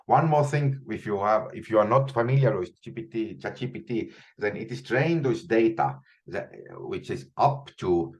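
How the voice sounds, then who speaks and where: A man's voice: lowish voice, animated, moderate pace. A man in his forties with an Estonian accent, teaching a live online coding session and thinking aloud, often restarting and repeating words. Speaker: instructor. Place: small room at home, indoors.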